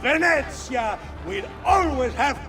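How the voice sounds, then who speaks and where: An actor playing a pompous Venetian Doge in a video game, with a grand, declamatory way of speaking. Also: male voice, extremely high pitch, expressive, slow pace, vocal fry, exaggerated voice. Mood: grandiose. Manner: pompous, declamatory speech-making. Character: pompous Venetian Doge, older ruler man. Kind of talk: video game cutscene acting. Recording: music behind. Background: music.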